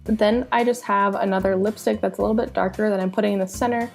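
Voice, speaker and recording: A young woman narrates her makeup routine in a chatty, upbeat way, her voice nasal from a cold. There's a gravelly edge to her tone, and faint music plays softly underneath. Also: medium pitch, steady tone, fast. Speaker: beauty vlogger. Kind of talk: makeup tutorial voice-over.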